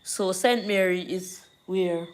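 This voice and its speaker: A Jamaican woman hosting a casual livestream, chatting to viewers and reading out their comments in a slow, preachy way. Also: on the low side, fairly even intonation, smooth.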